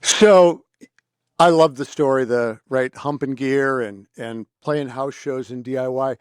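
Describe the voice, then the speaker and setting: A man's voice: mid-range voice, unhurried, smooth, no room sound. Chatty American man, closely recorded, dry. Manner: chatty, rambling, informal. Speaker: podcast host. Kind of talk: casual conversational interviewing.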